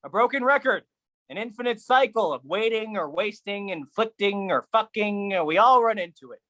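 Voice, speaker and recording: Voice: expressive, normal speed, sharp tone, dry recording, rough recording. A solo performer delivering a dramatic spoken-word monologue in an intense, theatrical manner. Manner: theatrical, intense, swinging between low musing and raised outbursts.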